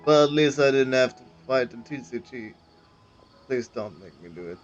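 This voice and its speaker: A man's voice: mid-range voice, animated, normal speed, faint music behind. A man casually reacting to a video game, sarcastic and free with swearing, on a slightly lo-fi recording.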